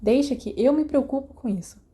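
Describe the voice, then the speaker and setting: Woman reading as cocky schoolboy prankster, pitched up. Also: a little fast, dark tone, close to an everyday voice. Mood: smug. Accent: Brazilian. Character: confident, scheming eleven-year-old schoolboy prankster.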